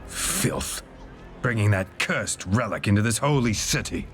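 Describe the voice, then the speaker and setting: Slow, haughty holy warrior. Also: male voice, lowish voice, animated, fairly bright tone. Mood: contemptuous. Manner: pompous, slow, contemptuous. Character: haughty, menacing zealous holy warrior of a city. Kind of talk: video game cutscene acting.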